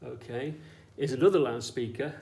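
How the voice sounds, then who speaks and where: Man, science teacher, medium pitch, informal demonstration, explanatory, short check-in questions, echoey room recording. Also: normal speed, vocal fry, warm tone. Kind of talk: informal hands-on demonstration lesson. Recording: echoey room with faint background noise.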